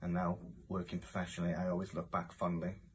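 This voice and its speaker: A man gives a testimonial as a stage performer. His voice sits low, and there is a faint layer of background noise under it. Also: completely flat delivery, quick pace. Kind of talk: testimonial to camera.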